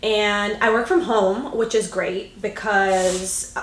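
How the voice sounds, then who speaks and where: A woman's voice: mid-range voice, fairly even intonation, slow pace. A woman in her late twenties, from Greece, co-hosting a podcast in casual chat, with lots of likes and ums. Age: 20s. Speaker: podcast co-host. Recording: roomy echo.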